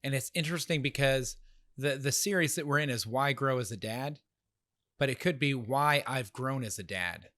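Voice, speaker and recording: A man with a slightly nasal voice co-hosting a casual talk show, animated and laughing often. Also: medium pitch, moderate pace, dry recording. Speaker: podcast co-host. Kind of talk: casual chat.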